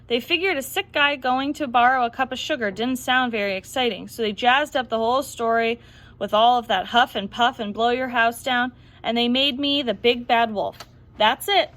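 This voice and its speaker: Woman reading as a high-voiced, self-justifying storybook wolf. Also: a bit bright. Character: wronged wolf telling his side, male, self-justifying. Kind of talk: children's picture-book read-aloud.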